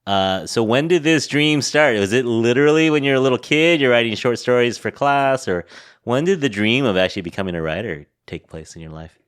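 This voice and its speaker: A man hosting a podcast, asking questions in a relaxed, friendly way and laughing often. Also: medium pitch, a little fast, smooth. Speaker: podcast host. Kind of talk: casual interview chat.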